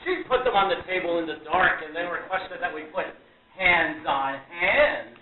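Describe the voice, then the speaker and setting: A woman's voice: fairly low pitch, animated, normal speed, lightly acted. A woman playing a scornful, triumphant male magician in a radio play, declamatory and mocking. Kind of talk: stage radio play acting. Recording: tinny, echoey, distant with crowd noise.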